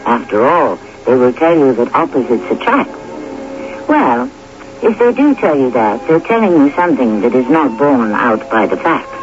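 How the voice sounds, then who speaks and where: A man hosting a radio drama, narrating in a deliberate, philosophical, rhetorical scripted style. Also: medium pitch, big pitch swings, soft background music. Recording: dull old radio recording.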